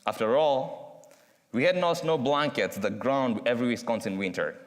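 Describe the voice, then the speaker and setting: A young man from Kenya with a Kenyan accent, giving an earnest, measured student speech with dramatic pauses. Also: medium pitch. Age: young adult. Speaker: student speaker. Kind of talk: prepared speech read aloud. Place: university chapel. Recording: long reverb from a large chapel.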